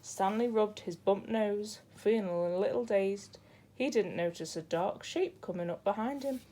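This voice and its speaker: A woman's voice: medium pitch, unhurried, warm tone. A woman narrating a children's picture book as a storybook reader.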